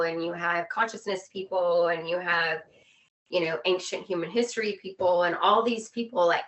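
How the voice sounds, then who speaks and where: A woman with a fairly low voice shares her opinions in casual conversation. She speaks earnestly and repeats phrases to drive her point home. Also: a bit bright, low-quality recording.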